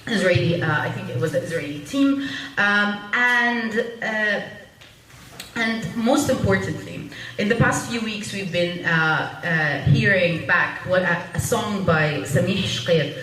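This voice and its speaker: A woman's voice: mid-range voice, fairly bright tone. Earnest woman speaking in an echoey room. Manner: earnest, urgent, pausing often to find words. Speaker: panel speaker. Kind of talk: public panel talk. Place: public meeting room at a panel event. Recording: echoey room from far off, faint noise.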